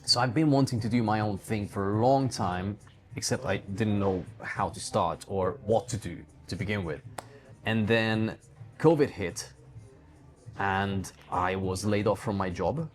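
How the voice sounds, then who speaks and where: A man's voice: fairly low pitch, a little slow, soft background music. A man from Hungary answering questions conversationally as an interview guest, talking about his own life and work. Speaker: guest, digital entrepreneur running a book publishing company.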